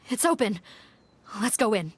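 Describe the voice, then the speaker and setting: A woman's voice: on the high side, very animated, slow pace, a bit bright, husky, heavily acted. A woman voicing a stern, dutiful police captain in a video game, with an authoritative, businesslike manner. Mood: grave, resolute. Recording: game music underneath.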